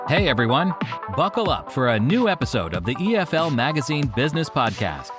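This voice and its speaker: A man's voice: a little high, very animated, fairly quick. A man delivering an upbeat, scripted show introduction as the announcer. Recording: announcer voice over music.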